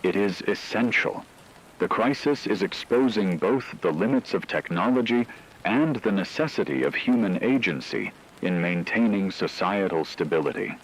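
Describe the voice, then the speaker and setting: A man playing a grave radio news anchor, reading scripted catastrophe news in a solemn, measured, formal way with a husky voice. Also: on the low side, slight background noise, close to an everyday voice, poor audio. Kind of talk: scripted dramatic news narration.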